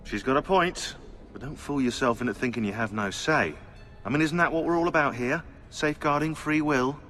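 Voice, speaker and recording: An actor playing a sardonic, eccentric British conspiracy researcher turned assassin in a video game, chatty, opinionated and sarcastic. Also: male voice, medium pitch, fairly quick, fairly bright tone, faint music behind, close to an everyday voice. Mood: sarcastic, argumentative. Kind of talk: game cutscene dialogue.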